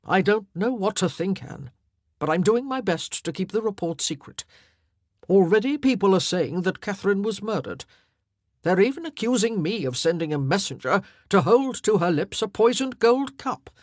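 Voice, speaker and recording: A man's voice: high-pitched, lively intonation, moderate pace, no echo, studio quality, voice pushed up. A woman voicing a bold, commanding king in an audiobook, with emphatic, declamatory delivery. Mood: grave, confiding. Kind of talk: audiobook character dialogue.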